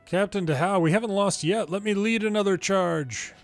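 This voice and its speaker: A man's voice: high-pitched, normal speed, faint music behind. A man reading video game dialogue aloud dramatically, acting out each character's lines in turn.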